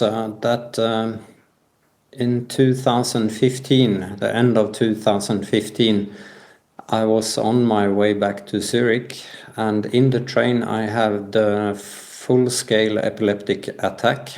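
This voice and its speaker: A man with a lowish voice tells a personal account in an interview. He speaks very slowly and haltingly, searching for his phrasing as a guest would in conversation. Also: fairly even intonation, darkish tone. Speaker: podcast guest.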